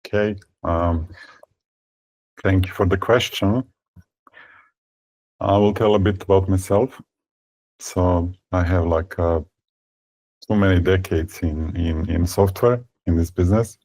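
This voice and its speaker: A man in his fifties from Serbia, speaking as a guest in an interview. He talks haltingly, with many fillers and repeated words. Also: deep voice, steady tone, a little mellow, poor audio. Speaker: tech company founder, guest. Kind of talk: conversational interview.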